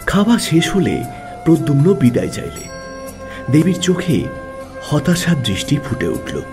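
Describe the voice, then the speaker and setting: Guy narrating a classic story dramatically, very expressive pitch swings, music in the background. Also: unhurried. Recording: music under the voice.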